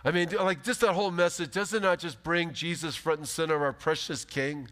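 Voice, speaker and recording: A pastor in his fifties giving an animated, emphatic sermon from a church stage. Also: a little high, a little fast. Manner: animated, emphatic preaching with big gestures. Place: large church stage, indoors. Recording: long hall reverb.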